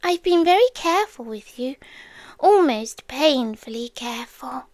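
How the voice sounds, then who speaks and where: Ancient possessive female stalker for ASMR roleplay, played by a woman: British, very slow, eerily tender, slipping into whispers, close and dry. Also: sits high, animated, no echo. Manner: slow, hushed, often slipping into whispers, teasingly menacing. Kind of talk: ASMR roleplay, intimate dramatic monologue. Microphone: close-up ASMR microphone, dry.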